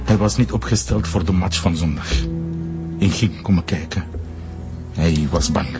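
A man's voice: deep voice, normal speed, loud music behind the voice, natural voice. A man acting an indignant, defensive middle-aged father under police questioning in a naturalistic radio drama. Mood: bitter.

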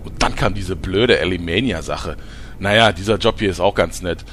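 An actor playing a bitter former star reporter who now sells armor and insists on haggling, in a comedy radio play. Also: male voice, deep voice, moderate pace, a bit bright, slight background noise. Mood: self-pitying. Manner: fussy, indignant, insists on haggling.

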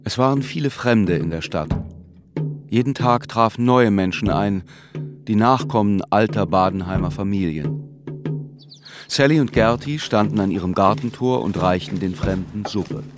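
A man acts as a grave storyteller narrating a literary radio drama. His low voice is measured and sombre, with music clearly underneath. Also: close to an everyday voice.

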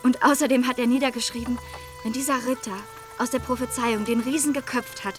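An actress playing a noble, dignified princess in a radio play, speaking in formal courtly phrases. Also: female voice, on the high side, steady tone, a little fast, over music, close to an everyday voice. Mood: stately, resolute. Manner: formal, stately courtly speech. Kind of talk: German radio play acting.

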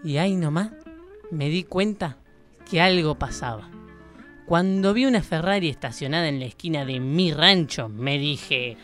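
A woman's voice: low-pitched, a bit bright, soft background music. An Argentine woman acting an indignant, plucky country woman who narrates her own adventures in a comic radio drama. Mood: brooding, suspicious. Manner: melodramatic, drawn-out, protesting.

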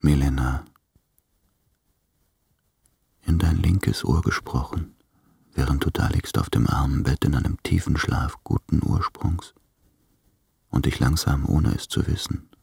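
Radio play lead: troubled, tired man near forty, gravelly, intimate, recorded dry. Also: a bass voice, no room sound, exaggerated voice. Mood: tender, intimate. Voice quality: gravelly, husky. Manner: brooding, hushed, deliberate. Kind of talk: intimate literary radio drama monologue.

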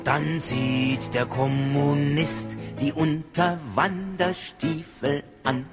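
A man's voice: a little high, steady tone, extremely slow. A male singer-songwriter performing a satirical protest ballad to plucked acoustic guitar. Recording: archive song recording with guitar.

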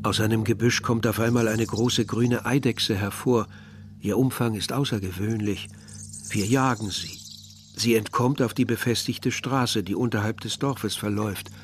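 An actor playing a young villager who tells his story in a measured, literary way, as narration in a radio drama. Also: on the low side, fairly even intonation, noisy background, close to an everyday voice. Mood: dreamlike, troubled. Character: young Algerian villager narrating a grim search for remains. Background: music.

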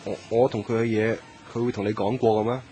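A man's voice: fairly low pitch, level tone, fast talker, dark tone, a little hiss or hum, close to an everyday voice. A man acting as a bashful, nervous young man in a radio drama, hesitant and stammering, with a Hong Kong Cantonese accent.

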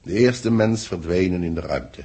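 An actor playing a grave, authoritative boss with a husky voice and solemn dramatic phrasing, in 1960s radio drama style. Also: male voice, low-pitched, normal speed, mellow. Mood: troubled, grave.

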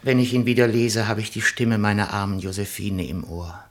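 An actor playing a meek, earnest, zealously dutiful citizen and abandoned husband in a 1960s German radio play, with a deferential, eager manner. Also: male voice, on the low side, normal speed, natural voice. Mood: wistful, earnest.

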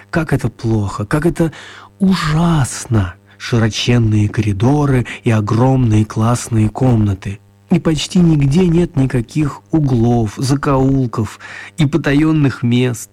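A man narrating a children's radio play as an expressive, mock-serious storyteller. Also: mid-range voice, unhurried, husky, no room sound.

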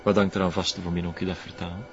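A sly, evasive West Flemish man with a fairly low voice played by an actor, cagey and flirtatious. Music plays faintly behind him. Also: little pitch movement, fast, lo-fi sound, lightly acted. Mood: sly, teasing. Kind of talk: TV drama acting.

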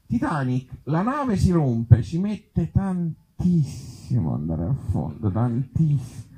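A man from Italy reading absurd short comic stories aloud to a live gathering, with deadpan humour and offhand, hesitant asides. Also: fairly high pitch, husky, low-quality recording. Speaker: author and actor reading his comic tales at a live event.

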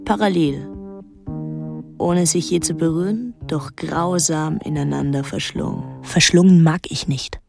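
A woman's voice: sits low, unhurried, vocal fry. An actress playing a guarded, introspective eighteen-year-old island girl who tells her story in a literary radio drama. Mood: brooding. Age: young adult. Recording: dry close studio, music underneath. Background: soft music.

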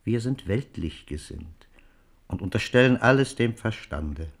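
An actor playing a suave, coolly cynical Jesuit envoy in a German radio play, measured, formal and insinuating. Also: male voice, lowish voice, expressive, creaky, heavily acted. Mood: persuasive.

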